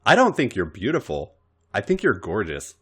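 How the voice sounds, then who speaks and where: A man's voice: fairly low pitch, wildly swooping pitch, normal speed, a bit bright, breathy edge, full character voice. A man voicing an angry but tender wrestling boss in a romance story, with emphatic, intense delivery. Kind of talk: dramatic fan fiction reading.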